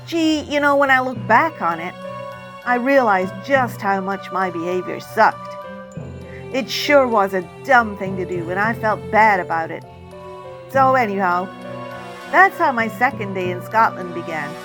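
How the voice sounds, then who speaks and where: A woman author narrating a first-person story in character as a child, with expressive, dramatic storytelling. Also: mid-range voice. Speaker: author reading own novel. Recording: music under the voice.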